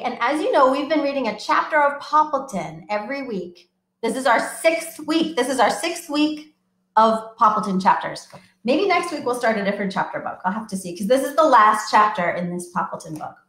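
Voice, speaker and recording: Lively woman reading aloud to small children. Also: on the high side, reverberant. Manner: animated, sing-song, coaxing children to join in. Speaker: children's librarian. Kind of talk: storytime read-aloud for young children.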